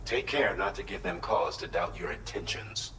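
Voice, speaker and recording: A man playing a composed, formal mission controller in a video game, speaking in measured, articulate and polite briefings. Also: expressive, bright, forceful tone. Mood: encouraging, formal. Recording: echoey hall, distant, noisy rumbling ambience.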